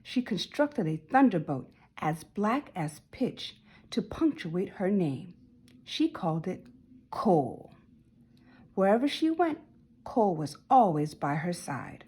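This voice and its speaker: A woman reading a children's story aloud in an expressive way, with dramatic emphasis and drawn-out words. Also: lowish voice, slightly rough. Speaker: storybook reader.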